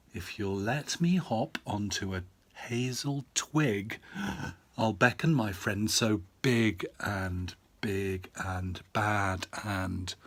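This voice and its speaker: Crafty little mouse for a storybook, calm and coaxing, very unhurried; a man performs. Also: lowish voice.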